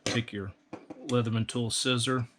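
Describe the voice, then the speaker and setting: A man calmly explaining a hands-on build step by step, in a practical, methodical way. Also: medium pitch, measured pace, noisy background. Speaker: instructor. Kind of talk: step-by-step how-to instruction.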